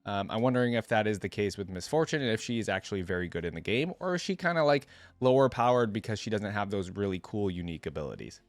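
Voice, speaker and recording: A man's voice: on the low side, expressive, fast, no echo. A man giving casual reaction commentary as the host of a video.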